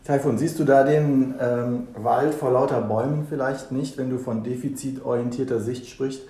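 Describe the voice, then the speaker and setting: Man, moderator, mellow voice, pointed questions, echoey room. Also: medium pitch, steady tone, normal speed. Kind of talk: panel discussion moderation.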